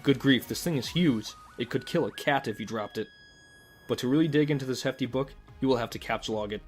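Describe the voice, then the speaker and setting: A man's voice: medium pitch, brisk, faint music behind. A man reading scripted comic narration in the second person, with a wry, mock-formal manner.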